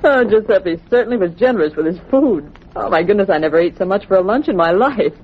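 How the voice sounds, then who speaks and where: Female actor as capable secretary, expressive intonation, polite radio-drama style, dull recording with faint noise. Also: medium pitch, fast talker, close to an everyday voice. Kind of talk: old-time radio acting.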